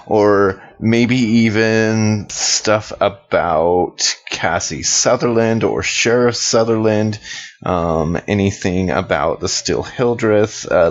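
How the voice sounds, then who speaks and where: A man playing in a tabletop game, speaking in casual, improvised chat about his character's moves. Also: on the low side. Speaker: player in actual-play podcast. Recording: slightly dull, lo-fi sound.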